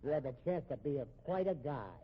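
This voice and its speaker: An actor playing a bitter fugitive killer in a 1950s radio drama, with slangy, sneering American speech. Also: male voice, on the high side, animated, brisk, slightly rough. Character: cornered fugitive killer, tough, bitter, working-class brother. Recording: muffled, tinny old radio recording.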